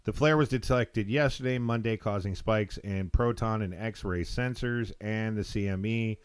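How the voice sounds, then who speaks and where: Low-voiced American man hosting a live show. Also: clean tone. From Texas, United States. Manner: rambling, halting, informal. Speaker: live call-in show host. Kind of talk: casual live talk show commentary.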